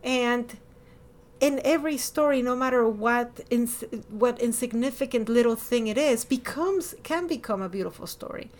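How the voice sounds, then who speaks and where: A woman with a Latin American Spanish accent talking casually and expressively as a podcast host, with animated emphasis. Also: on the high side, normal speed. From born in Miami, lived over a decade in Ecuador. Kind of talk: casual personal conversation.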